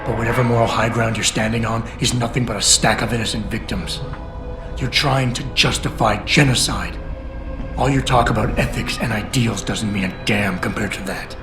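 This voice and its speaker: A man playing a blunt, low-voiced augmented agent. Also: steady tone, moderate pace, close to an everyday voice. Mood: confrontational. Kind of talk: video game dialogue. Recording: roomy game audio with music.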